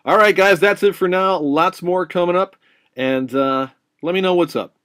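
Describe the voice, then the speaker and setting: A man's voice: high-pitched, normal speed, fairly bright tone, no echo, clean studio sound. A man presenting as a filmmaker in a casual, chatty and emphatic address to his viewers. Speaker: filmmaker and travel show presenter. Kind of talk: casual video sign-off to viewers.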